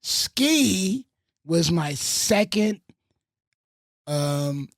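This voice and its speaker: A man from the Bronx and Fayetteville, a radio host and producer, telling animated stories on a podcast and swearing freely. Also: fairly high pitch, very slow, creaky, no room sound, studio quality. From Bronx, New York and Fayetteville, North Carolina. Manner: animated storyteller with a lot of swearing. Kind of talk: casual podcast storytelling.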